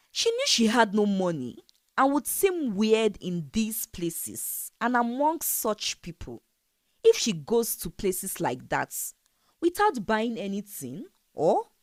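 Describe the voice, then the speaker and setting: Storyteller: woman, slow measured folktale narration, animated intonation, dry close sound. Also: on the low side, no room sound.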